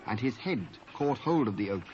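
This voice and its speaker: A man's voice: medium pitch, expressive, normal speed, a little mellow, soft background music. Muffled British male scripture narrator. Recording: muffled cassette transfer with faint noise.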